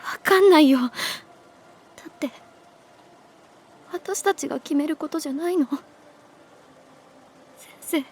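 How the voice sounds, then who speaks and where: A woman playing a stubborn but fragile runaway middle-school girl in a Japanese voice drama, speaking softly and haltingly with emotion. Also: sits high. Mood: pleading.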